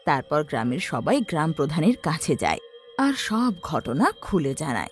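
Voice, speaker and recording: A woman's voice: sits low, very animated, normal speed. Woman narrator, wildly swinging dramatic delivery. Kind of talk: dramatic scripted horror story narration. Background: background music.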